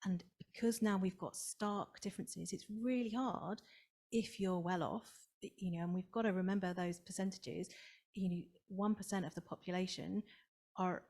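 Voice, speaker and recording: A woman's voice: mid-range voice, steady tone, normal speed, darkish tone. A woman speaking on a conference panel in a conversational, reasoned way, often saying "you know".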